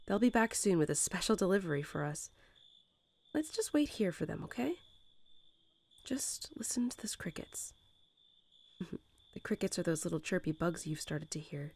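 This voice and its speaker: A woman's voice: fairly low pitch, animated. A woman playing a young mother wolf who talks tenderly and without hurry to her newborn pups, in the style of a bedtime roleplay audio. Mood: hushed, soothing. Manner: tender, unhurried, softly chuckling, hesitant informal phrasing. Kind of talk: soothing bedtime roleplay audio, intimate storytelling.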